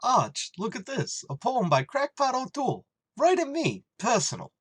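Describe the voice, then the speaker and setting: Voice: mid-range voice, wildly swooping pitch, normal speed, husky, no echo, studio quality. An actor voicing a dim-witted, friendly tavern regular with a hesitant, dopey New drawl in a radio comedy. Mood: eager, dopey. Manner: hesitant, muddled. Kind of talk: old-time radio comedy acting.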